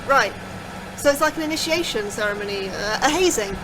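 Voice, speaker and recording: A woman's voice: on the high side, animated, a little fast, background noise, lightly acted. A woman playing an anxious, inquisitive young scientist in an audio drama, with a hesitant, questioning manner. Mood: curious, unsure. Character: anxious, inquisitive, sceptical young female astrobiologist. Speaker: voice actor in a fiction podcast. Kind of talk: naturalistic audio drama acting.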